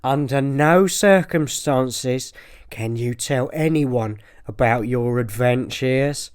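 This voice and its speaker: A man's voice: mid-range voice, slow, smooth, natural voice. A man playing a stern, secretive father with a British accent and an urgent, bossy manner in an audiobook. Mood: grave warning.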